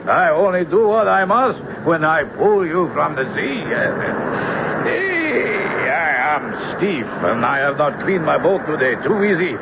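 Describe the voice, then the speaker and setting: A man's voice: fairly high pitch, very animated, slow pace, heavily acted. An actor playing a genial, easygoing fisherman with a Portuguese accent in an old-time radio drama. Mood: genial, teasing. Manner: genial, foreign-tinged, unidiomatic phrasing. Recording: muffled, noisy vintage radio recording.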